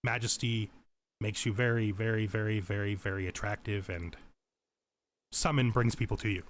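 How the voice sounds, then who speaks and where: A man's voice: fairly low pitch, steady tone, normal speed, slight background noise. Man giving an unscripted game tutorial. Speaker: tutorial let's play host.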